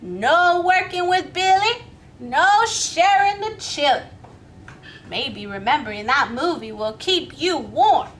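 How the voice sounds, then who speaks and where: A woman's voice: sits high, lively intonation, slow pace, a bit bright, slight background noise, full character voice. A woman voicing a stubborn, easily miffed female armadillo cook in a storybook, with folksy, emphatic scolding. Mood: huffy, scolding. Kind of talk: animated children's storybook read-aloud.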